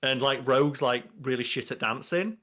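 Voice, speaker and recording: A young man with a mid-pitched voice co-hosts a podcast from a small room. He moves between reading aloud and casual, sweary commentary, keeping it informal throughout. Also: normal speed, fairly bright tone. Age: young adult. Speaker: podcast co-host. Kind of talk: casual podcast chat, reading out wiki entries. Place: small bedroom.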